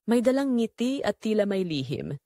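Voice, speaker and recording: A woman's voice: mid-range voice, sing-song, normal speed, warm tone, no room sound, studio quality, lightly acted. A woman playing a lonely middle-aged widowed mother who tells her secretly yearning story in an audio drama. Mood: wistful. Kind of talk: first-person dramatic audio story narration.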